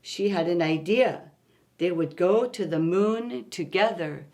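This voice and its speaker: A woman reading aloud for young children in an expressive, deliberate storytime manner, engaging her listeners directly. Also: lowish voice. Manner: expressive, asks listeners simple questions. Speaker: children's story-time reader.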